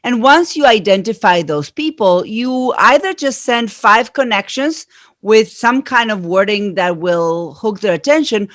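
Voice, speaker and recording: A woman's voice: medium pitch, lively intonation, measured pace, no room sound. Argentine-accented middle-aged woman, earnest explainer, dry close sound. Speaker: guest. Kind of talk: interview answers.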